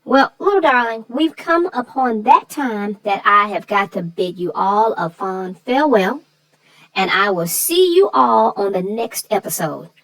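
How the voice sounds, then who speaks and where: A woman's voice: mid-range voice, expressive, a little slow, fairly bright tone, poor audio. A woman co-hosting a children's story show, chatting casually in a playful, mock-dramatic way. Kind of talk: casual chat.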